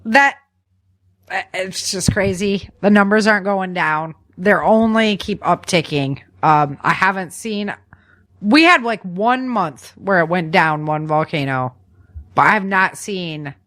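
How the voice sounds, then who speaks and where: A woman hosting a podcast, chatting casually to listeners in an animated, folksy way with informal asides. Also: lowish voice, slow. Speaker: podcast host. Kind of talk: casual news chat to listeners.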